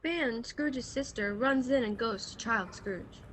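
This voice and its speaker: A woman playing the measured storyteller, reading scripted narration for a radio play recorded over a video call. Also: a little high, a bit bright, faint music behind, rough recording.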